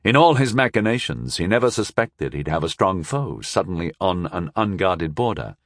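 Discreet noble counsellor, voiced by a male narrator for fantasy audiobook. Calm, courteous, quiet, varied intonation. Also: deep voice, moderate pace, dry recording, studio quality, full character voice. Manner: quiet, advising.

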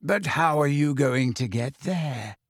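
A man voicing a dreamy teenage girl witch who speaks serenely and softly. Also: on the high side, expressive, takes it slowly, dry recording, studio quality. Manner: serene, soft, detached.

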